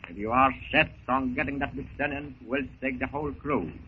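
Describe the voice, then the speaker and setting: A man's voice: mid-range voice, brisk, narrow, tinny audio, natural voice. Man playing a scheming pirate henchman, thin old recording. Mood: wry, scheming. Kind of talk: 1930s radio serial acting. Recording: thin, hissy 1930s radio recording.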